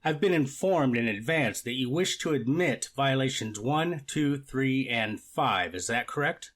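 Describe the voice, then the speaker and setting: A man's voice: medium pitch, expressive, a bit bright. Man acting formal, methodical courtroom judge. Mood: formal, measured. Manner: formal, measured, procedural. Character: formal, methodical male judge presiding over a hearing. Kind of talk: readers theater courtroom reenactment.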